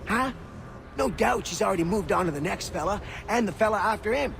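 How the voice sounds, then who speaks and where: An actor playing a sneering, gossipy prison inmate in a video game, talking in coarse, mocking slang. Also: male voice, high-pitched, expressive, fairly quick, vocal fry, noisy background.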